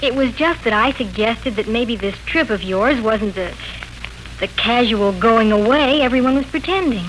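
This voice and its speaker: High-voiced, thoughtful young woman. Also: animated, normal speed, fairly bright tone. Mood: hesitant, thoughtful. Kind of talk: 1940s radio serial acting. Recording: noisy 1940s radio recording.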